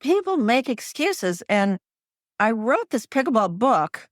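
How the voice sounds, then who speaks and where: A woman in her fifties, a pickleball coach and author, telling animated stories as a podcast guest and laughing often. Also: fairly high pitch, takes it slowly, dry recording, studio quality. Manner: animated storytelling, laughs often, acts out her own quotes.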